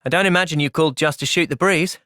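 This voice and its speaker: Earnest young soldier, very dry recording. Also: male voice, fairly high pitch, fast talker, bright, forceful tone, dry recording. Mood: calm, thoughtful. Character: earnest, thoughtful young male soldier, gentle but resolute. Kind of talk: video game cutscene acting.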